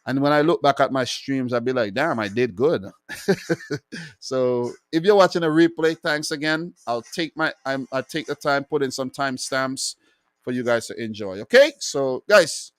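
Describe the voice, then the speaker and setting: A man's voice: medium pitch, unhurried, a bit bright, clear, resonant tone, no room sound, clean studio sound. A man hosting a football live stream, talking in a loose, joking way with frequent restarts. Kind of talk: casual live-stream chat.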